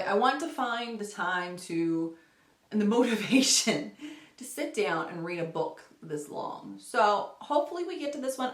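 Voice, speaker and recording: A woman speaks casually to camera as a book vlogger, rambling and correcting herself along the way. Her mid-pitched voice moves up and down with lots of animation. The sound is roomy, with noticeable echo around her words. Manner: chatty, rambling, self-correcting. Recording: roomy, echoey home recording.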